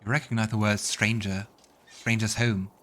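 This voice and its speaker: A man's voice: lowish voice, little pitch movement, moderate pace, fairly bright tone, a little hiss or hum. A man hosting a let's play, mixing casual game commentary with narration read aloud. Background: game music.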